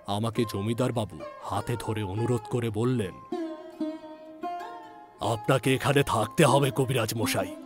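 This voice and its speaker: A man's voice: medium pitch, close to an everyday voice. A man playing a grave, touchy old village physician who recounts his past solemnly in a dramatized ghost story.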